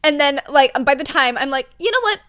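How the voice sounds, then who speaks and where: A young woman with a high voice rambles in a casual, chatty way. She is excitable and emphatic, peppering her talk with slang and swearing as she addresses her listeners. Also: a little fast. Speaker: podfic reader.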